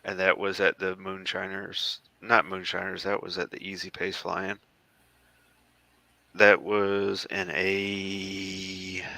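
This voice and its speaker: An American man in his thirties chatting casually as he talks through old photos, halting and rambling as he goes. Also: deep voice, bright, forceful tone, no echo. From southeastern United States. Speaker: guest, former Marine air traffic controller.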